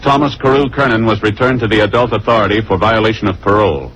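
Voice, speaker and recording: A man's voice: on the low side, fast, fairly bright tone, faint music behind. A man announcing in a formal, scripted old-time radio style. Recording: faintly noisy vintage radio recording.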